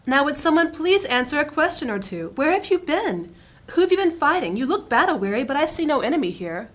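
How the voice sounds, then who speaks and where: Woman playing high-voiced, commanding lady mage. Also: sing-song, brisk, fairly bright tone. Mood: inquisitive. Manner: formal, authoritative, questioning. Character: commanding female mage of rank, inquisitive and haughty. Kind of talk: fantasy audio drama acting.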